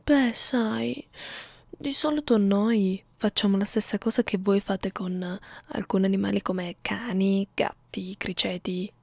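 Woman acting a slow, teasing vampire girl, whispering close. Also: mid-range voice, fairly even intonation, creaky, no echo. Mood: playful, haughty. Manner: slow, teasing, condescending, menacing. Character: young female vampire, 342 years old, playful, predatory, haughty. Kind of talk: ASMR roleplay, alternating whispers and soft speech. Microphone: close ASMR microphone.

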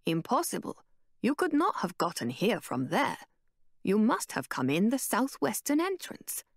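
A woman voicing a calm, cryptic old woman who speaks in a low, hushed, measured way. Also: no room sound, clean studio sound, exaggerated voice.

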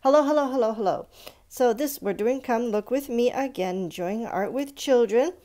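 A woman with a creaky voice giving a read-aloud art lesson for children, chatting in asides and putting questions straight to her young viewers. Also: on the high side, normal speed, mellow. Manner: instructive, addresses young viewers directly with questions. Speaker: art reader and presenter for children. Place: indoors at a stone countertop with a book.

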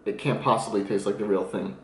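A man's voice: fairly low pitch, fairly even intonation, brisk, echoey room. A man in his twenties vlogging his meals at home, explaining his diet tips in a casual, upbeat way while eating on camera. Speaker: fitness vlogger. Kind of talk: casual food vlog. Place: small room at home, then home kitchen.

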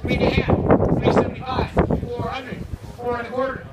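A man working as an auctioneer, calling bids in a quick repeating chant at an outdoor yard auction. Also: extremely high pitch, monotone, recorded from a distance, heavy background noise. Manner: rapid rhythmic bid chant. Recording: echoey loudspeaker with loud crowd, from afar.

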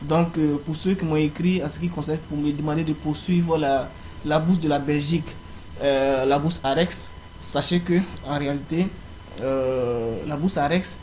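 Halting man explaining an online form. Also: a little high, a little mellow. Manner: halting, frequent fillers. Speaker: tutorial presenter. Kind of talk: screen-recorded walkthrough. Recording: faint background noise.

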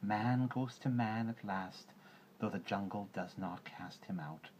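A man voicing a huge male python who speaks slowly, quietly and deliberately. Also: on the low side, slight background noise, lightly acted. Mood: hushed. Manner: slow, hushed, deliberate.

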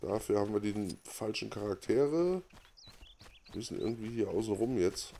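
A man's voice: lowish voice, animated, moderate pace, mellow, slight background noise. A man giving casual, unscripted game commentary as host, muttering offhand reactions to himself. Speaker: gaming let's play host.

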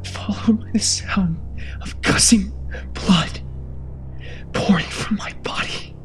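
A woman voicing a terrified, guilt-ridden husband in a first-person horror story, halting and breathy, often dropping to a whisper. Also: medium pitch, lightly acted. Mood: anguished, panicked. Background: background music.

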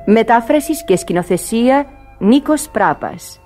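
A woman's voice: fairly high pitch, expressive. A woman announcing a radio drama in a scripted, formal read. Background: music.

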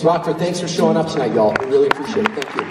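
A man's voice: mid-range voice, lively intonation, very fast. A man from Colorado, a singer in a vocal group, speaking to fans from a stage. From Littleton, Colorado. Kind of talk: stage address to concert audience. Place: large indoor theater stage. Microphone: handheld mic through PA, recorded from audience. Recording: echoey concert hall from far, crowd noise.

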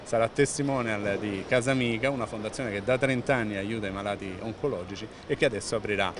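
A man's voice: fairly low pitch, fast. A man in his fifties with an Italian accent, giving earnest, fluent interview answers into a handheld microphone in a large indoor expo hall, with frequent "eh" fillers and restarts. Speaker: president of an environmental organization. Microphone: handheld reporter microphone held close. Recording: noisy venue background.

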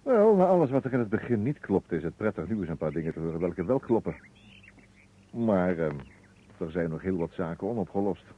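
Shrewd, measured chief detective, husky, on a muffled vintage radio recording. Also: male voice, deep voice, sing-song, exaggerated voice. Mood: musing, probing. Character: shrewd, unhurried male chief police detective. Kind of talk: old Dutch radio detective drama acting.

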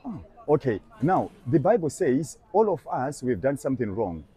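A man's voice: mid-range voice, very animated, normal speed, mellow. Earnest young male street preacher outdoors. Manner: earnest, persuasive, repeats verses back line by line. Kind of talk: street evangelism conversation. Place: busy outdoor shopping street.

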